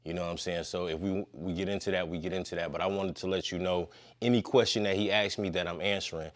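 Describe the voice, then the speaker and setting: A man's voice: sits low, steady tone, quick pace. A man in his fifties talking as a podcast guest in a laid-back, slangy, long-winded way. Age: 50s. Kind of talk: casual conversation.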